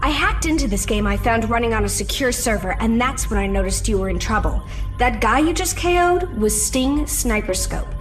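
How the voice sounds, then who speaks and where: Woman acting a confident higher-voiced hacker over music. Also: lively intonation, normal speed, lightly acted. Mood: composed, explanatory. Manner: matter-of-fact, firm. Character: confident, matter-of-fact female hacker guide. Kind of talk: video game voice acting.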